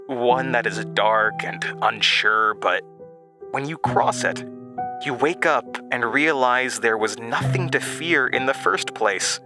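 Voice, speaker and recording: A man voicing a gentle, wistful, free-spirited woman in an audio drama, speaking philosophically and hesitantly. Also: mid-range voice, slow pace. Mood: serene, reassuring. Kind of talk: quoted dialogue in audio drama. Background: music.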